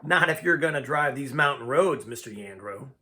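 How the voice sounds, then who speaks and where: A man reading the storybook part of a steady, plainspoken mountain guide in an understated, folksy way. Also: mid-range voice, normal speed, a little hiss or hum. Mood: firm, plain.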